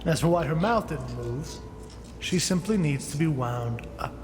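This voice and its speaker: A man's voice: a little high, lively intonation, unhurried. An actor playing a learned, irritable inventor in his late fifties in an audio drama, speaking formally and condescendingly. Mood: patient, explaining. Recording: noisy, echoing with long reverb.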